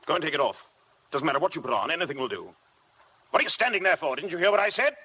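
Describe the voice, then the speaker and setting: An actor playing a prickly, brooding, imperious husband in a 1950s radio drama, clipped and commanding. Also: male voice, fairly high pitch, sing-song, very fast, pushed and bright, slightly rough, full character voice. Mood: furious. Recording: noisy vintage 1950 radio broadcast.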